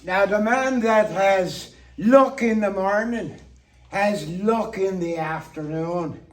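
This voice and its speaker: Male monologue performer, high voice, playful tipsy toastmaster delivery with deliberate pauses, picked up at a distance. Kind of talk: theatrical toast-making monologue. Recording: distant microphone.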